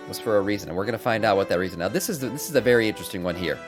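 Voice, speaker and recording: Male gaming streamer, low register, chatty and emphatic lore breakdowns, music under the voice. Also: racing. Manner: conversational, emphatic, repeats phrases for stress. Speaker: gaming content creator and streamer. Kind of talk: casual game lore commentary.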